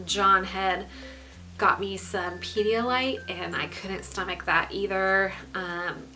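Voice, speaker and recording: A young woman with a stuffy, congested voice giving a candid pregnancy update, pausing often with ums. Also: medium pitch, faint music behind, poor audio. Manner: frequent ums, relaxed and candid. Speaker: pregnancy vlogger. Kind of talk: casual vlog update.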